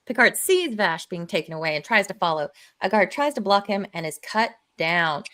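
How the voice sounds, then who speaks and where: A woman reading stage directions aloud in a casual, lively live table read. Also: mid-range voice, normal speed.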